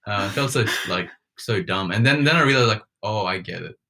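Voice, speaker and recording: Young guy on a podcast, lowish voice but bright and forward, rambles and trails off, self-deprecating. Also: lively intonation, brisk, vocal fry. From Nepal. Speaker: podcast co-host. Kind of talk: casual chat.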